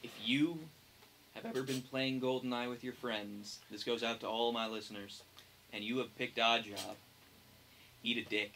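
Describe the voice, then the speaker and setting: A man's voice: medium pitch, flat delivery, moderate pace, sharp tone, slight background noise. A young man talking casually as a podcast co-host, blunt and mock-serious. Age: young adult. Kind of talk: casual opinionated chat.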